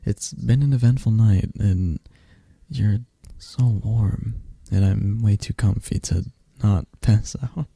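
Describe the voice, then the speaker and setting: Shy best friend, male, young adult, soft and stammering, husky and low, played by a man. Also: fairly even intonation, slow pace, no echo, heavily acted. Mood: playful. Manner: soft-spoken, stammering, gentle. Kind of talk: ASMR roleplay.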